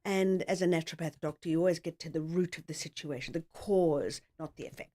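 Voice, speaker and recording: A woman's voice: low-pitched, fairly even intonation, brisk, dark tone. A middle-aged woman with an Australian accent, telling her story casually and in a rambling way as a podcast guest.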